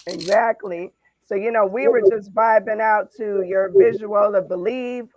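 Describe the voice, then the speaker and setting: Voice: medium pitch, steady tone, unhurried, a little mellow, slight background noise. A podcast host opening the show with an upbeat announcer delivery, then chatting casually and affirming the guest. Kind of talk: podcast hosting, casual chat. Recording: dull, dry lo-fi sound.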